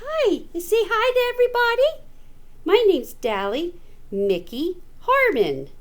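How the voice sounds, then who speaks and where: Woman putting on a high, cutesy little cat. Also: sing-song, measured pace, full character voice. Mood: cute, friendly. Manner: cutesy, childlike. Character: small friendly pet male cat talking in baby talk.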